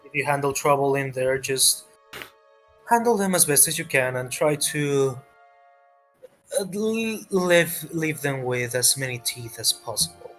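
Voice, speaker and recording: A man acts out a restrained guard commander in a tabletop fantasy game. He speaks slowly and haltingly, stern yet patient and formal. Music plays behind him. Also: medium pitch, lively intonation, a bit bright.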